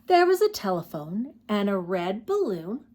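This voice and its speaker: Slow, sing-song woman reading to young children. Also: mid-range voice, big pitch swings, darkish tone, a little hiss or hum. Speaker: children's storytime host. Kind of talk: reading picture books aloud to young children.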